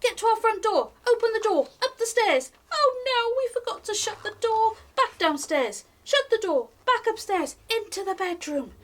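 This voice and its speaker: A woman reading a children's picture book aloud in an expressive, singsong way, speaking directly to young listeners as a library storytime reader. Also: very high-pitched, moderate pace, few pauses.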